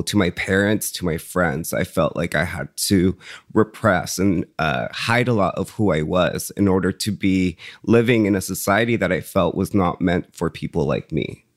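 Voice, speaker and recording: Male interview guest from Mexico, low voice, soft-spoken and sincere, dry close sound. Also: no room sound. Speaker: interview guest, mental health advocate. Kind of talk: reflective interview answers.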